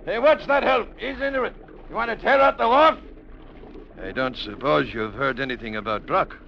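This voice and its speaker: An actor playing a gruff, cynical river-boat captain in a blunt, sardonic radio-drama style. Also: male voice, on the high side, moderate pace. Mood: barking orders. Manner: blunt, sardonic, shouts orders. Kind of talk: 1940s-50s radio drama acting. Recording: dull, noisy old radio recording.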